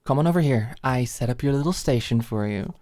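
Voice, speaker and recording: A woman's voice: extremely low pitch, lively intonation, fairly quick, dark tone, no room sound, clean studio sound. A woman playing an affectionate, fussing and coaxing ex-manager girlfriend in an intimate ASMR roleplay. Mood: doting, fussing. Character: doting, eager, dominant ex-manager girlfriend at home.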